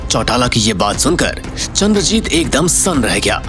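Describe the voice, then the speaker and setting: A man delivers dramatic scripted narration for an audio story. His mid-pitched voice moves in a lively way, with faint music underneath. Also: normal speed.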